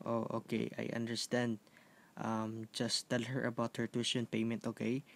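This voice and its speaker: A man's voice: mid-range voice, monotone, a little slow, gravelly. A man with a Filipino accent playing an earnest, courteous teacher who formally instructs an online class in an amateur radio play. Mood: calm, concerned. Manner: formal, instructive, checking with "okay?".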